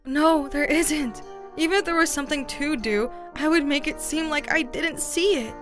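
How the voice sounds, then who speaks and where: A woman's voice: sits high, normal speed, music in the background, close to an everyday voice. A woman playing a childish, ditzy, sulky grown woman in a manga dub, whiny and dramatic. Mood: sulky despair. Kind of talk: exaggerated anime-style manga dub acting.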